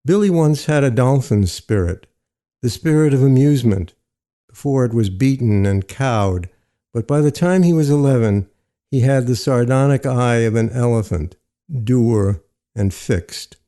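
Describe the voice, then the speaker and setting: A man reads literary fiction with a mellow, relaxed tone. His pitch sits in a middle male range, and his delivery is measured and deliberate, like careful audiobook storytelling. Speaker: narrator.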